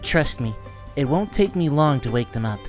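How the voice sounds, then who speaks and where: A man voicing a brisk, sensible male adventurer who leads his companions in a fantasy audio drama, in a businesslike manner. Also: on the high side, animated, a little mellow, soft background music, voice pushed up, lightly acted. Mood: decisive. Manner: questioning, businesslike.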